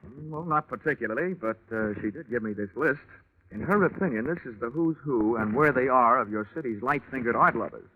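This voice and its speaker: An actor playing a wry, hard-boiled American investigator in a 1940s radio detective drama, deadpan and wisecracking. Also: male voice, mid-range voice, fairly quick, natural voice. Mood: probing, dry. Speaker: detective narrator. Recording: muffled 1940s radio recording with music.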